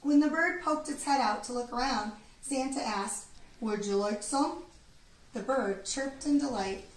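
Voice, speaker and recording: A woman reading a children's storybook aloud in an expressive, deliberate storytelling manner. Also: sits high. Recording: echoey room, distant mic, background noise.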